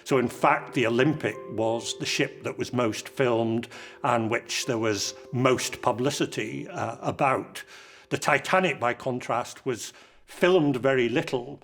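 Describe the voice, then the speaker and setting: A man gives explanatory interview commentary in halting, measured phrases. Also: mid-range voice. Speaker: interviewed commentator on film history.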